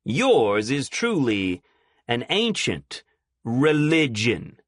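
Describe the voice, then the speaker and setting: A man doing a slow, solemn defiant preacher. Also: mid-range voice, sing-song, a bit bright, dry recording, clean studio sound, pitched above the performer's own voice, heavily acted. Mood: pointed. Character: calm, defiant male street preacher.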